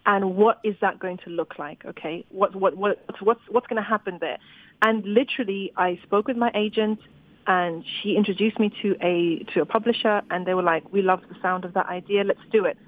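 A woman's voice: medium pitch, brisk, no echo. Woman talking animatedly over a thin phone line. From Zimbabwe. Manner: animated, with frequent restarts. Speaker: novelist guest. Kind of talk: interview conversation.

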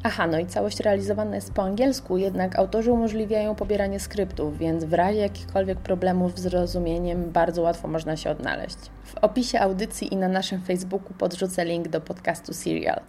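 A woman radio host reading a scripted podcast recommendation at an even, measured pace. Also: on the low side, faint music behind.

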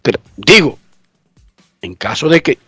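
A man's voice: mid-range voice, very animated, a little slow, bright, forceful tone, husky, dry recording, clean studio sound, soft background music. A man hosting a radio show from his home garage, talking chattily and emphatically while congested with a cold. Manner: chatty, emphatic, explains things repeatedly. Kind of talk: casual radio talk.

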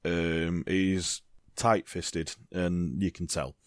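Bloke chatting football, lowish voice, rambly, dry close sound. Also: male voice, steady tone, drawn-out words, clear, resonant tone, no room sound. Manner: rambling, repeats "you know, I mean". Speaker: football podcast co-host. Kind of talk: casual chat.

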